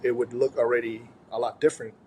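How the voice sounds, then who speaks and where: A man's voice: mid-range voice, little pitch movement, quick pace, dark tone, breathy edge, rough recording. A man from Thailand, a sports coach chatting casually as an interview guest. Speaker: golf coach, podcast guest.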